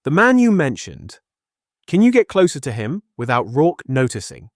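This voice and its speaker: A man's voice: mid-range voice, huge pitch swings, normal speed, dry recording, studio quality. An actor with a British accent playing a guarded 1930s Scotland Yard policeman in a detective drama, restrained and formal. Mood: guarded, businesslike. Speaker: character and narrator. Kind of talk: detective audio drama acting.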